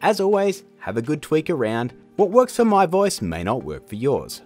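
A man hosting a casual software tutorial in a chatty, jokey way. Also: on the high side, huge pitch swings, normal speed, soft background music. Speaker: tutorial host. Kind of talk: casual screen-recorded tutorial narration.

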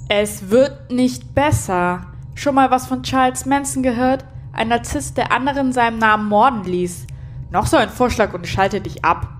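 A woman's voice: on the high side, moderate pace, a little hiss or hum, natural voice. A woman playing a blunt, sarcastic, easily exasperated space station captain in a sci-fi audio drama. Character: sardonic, irritable female space station captain.

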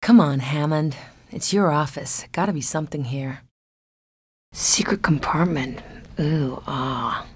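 A woman's voice: deep voice, measured pace, husky, dry recording. A woman voicing a young woman stranded on a dinosaur island, who talks to herself in a wry, frightened way. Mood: anxious. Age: young adult. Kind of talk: game dialogue and narration.

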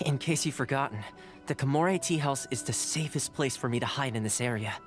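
An actor playing a calm, reassuring young ally in an anime game, explaining things in a measured way. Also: male voice, medium pitch, music in the background, natural voice. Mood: serious.

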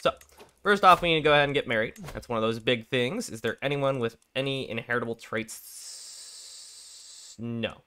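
A young man with a raspy voice from being sick, casually commenting on a strategy game, thinking aloud and clearing his throat often. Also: medium pitch, moderate pace, pushed and bright. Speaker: gameplay commentator. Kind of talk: casual let's play commentary.

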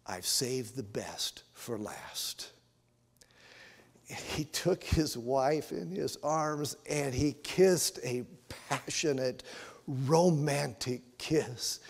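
A man in his sixties with an American accent, preaching and telling stories as a pastor, earnest and given to emphatic pauses, in a church. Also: on the high side. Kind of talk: sermon with storytelling. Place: church sanctuary, at the altar. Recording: reverberant church hall.

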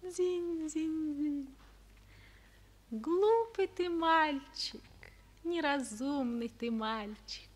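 A woman's voice: high voice, drawn-out words, pauses often. A woman playing a haughty clockwork spring princess in a 1940s radio play, chanting and scolding in sing-song.

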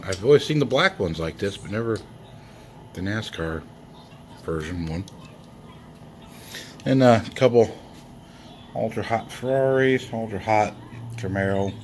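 A man with a very low voice talks through his toy car finds at a slow, relaxed pace. He rambles, chuckles often and fills gaps with ums. A faint hum of the room sits under his handheld phone recording. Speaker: toy car collector vlogger. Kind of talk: casual show-and-tell vlog. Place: indoors, filming toys laid on a bedsheet. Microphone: handheld phone camera.

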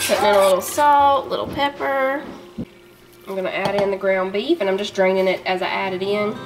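A woman, chatting homey and relaxed to viewers while she cooks in her home kitchen. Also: mid-range voice, brisk, music in the background, rough recording. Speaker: home cooking vlogger and mother. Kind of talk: casual cook-with-me narration.

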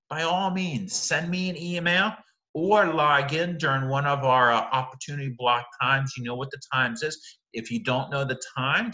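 A man's voice: mid-range voice, sharp tone, roomy sound, dull audio. A man in his forties, a teacher giving a recorded lecture over slides in a conversational way, often checking in with "right?" and restarting his sentences.

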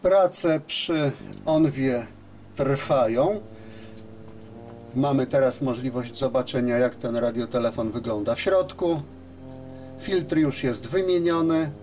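A man gives an unscripted workshop demonstration as a repair technician, explaining step by step with hesitant pauses. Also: mid-range voice, darkish tone, slight background noise. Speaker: radio repair technician presenting his work. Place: radio service workshop, indoors at a bench.

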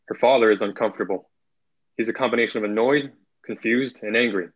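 A man's voice: fairly low pitch, fast talker, slightly rough, no echo, muffled recording. A young man reading stage directions as scripted narration, recorded over a video call. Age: young adult. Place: indoor room at home, video call.

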